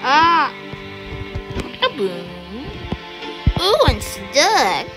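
A woman chatting excitedly through casual gameplay commentary, with sudden squeals. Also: high voice, very slow, low-quality recording. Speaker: gamer. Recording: game music behind. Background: game music.

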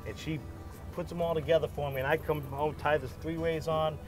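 Plain-spoken middle-aged fishing captain. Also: male voice, a little high, flat delivery, over music. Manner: plain-spoken, practical, halting restarts. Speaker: charter boat captain. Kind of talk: casual conversation. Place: small open fishing boat at sea.

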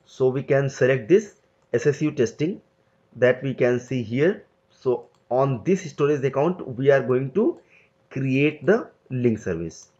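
Man walking through a software tutorial. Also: medium pitch, expressive, unhurried, dull audio. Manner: step-by-step explanatory, loose phrasing. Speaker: tutorial instructor. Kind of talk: screen-recorded software tutorial.

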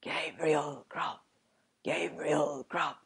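Storybook goblin chorus one woman performing: low, slow, eerie, accusing chant. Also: fairly even intonation, gravelly, slightly rough, exaggerated voice. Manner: drawn-out chanting.

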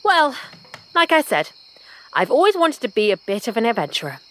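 An actress playing a curious, adventurous journalist in an audio drama. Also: female voice, on the high side, very animated, moderate pace, fairly bright tone, low-quality recording. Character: Eleanor Agnew, curious adventurous female journalist.

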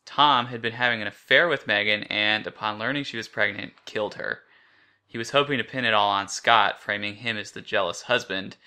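A man's voice: fairly low pitch, a little fast, sharp tone, clear, resonant tone. A man co-hosting a podcast, recapping and discussing a story in an informal way. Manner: informal, rambling, frequent "like". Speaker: podcast co-host.

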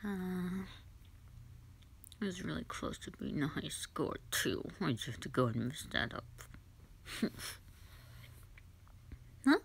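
A young woman playing a sulky, dramatic tsundere gamer girlfriend in an audio roleplay, with a whiny, pouty, drawn-out delivery. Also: sits low, expressive, creaky, slightly rough, full character voice. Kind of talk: roleplay audio drama, intimate one-sided dialogue.

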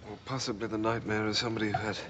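Man acting bookish mentor, deep pitch, halting, dry, measured, TV drama. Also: level tone, noisy background, lightly acted. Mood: hesitant, scholarly. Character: bookish, hesitant male mentor explaining demon lore.

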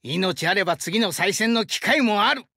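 A male actor voicing a burly, friendly young sumo-wrestler god in an anime game, with rough, hearty speech and a rural Shinshu Japanese dialect. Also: high-pitched, lively intonation, moderate pace, sharp tone, no echo, studio quality, heavily acted. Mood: gruff, reflective. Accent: rural central Japanese dialect (Shinshu). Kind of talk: anime game character voice lines.

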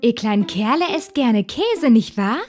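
A woman voicing a gentle, kind young servant girl, soft and earnest, in a storybook cartoon. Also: a little high, animated, moderate pace, lightly acted. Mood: kind, friendly. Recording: music under the voice.

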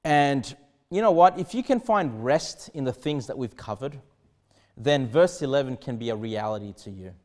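A man in his thirties, a pastor preaching a sermon in earnest, with sudden emphatic rises and quiet drops. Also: mid-range voice, animated, moderate pace. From Australia. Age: 30s. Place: small church studio behind a pulpit desk.

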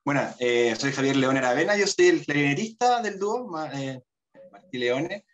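A man's voice: a little high, lively intonation, normal speed, fairly bright tone. A man in his thirties from Santiago with a Chilean accent, answering conversationally as a guest on a video call. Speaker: interview guest, orchestral clarinetist. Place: indoor room on a video call. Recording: slightly dull video-call audio.

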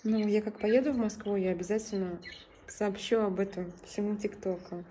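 A woman's voice: mid-range voice, fairly even intonation, normal speed, mellow. Composed middle-aged woman, faint room noise. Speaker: social media video creator. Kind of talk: casual flirtatious phone chat. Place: small indoor room at home. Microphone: phone at arm's length.

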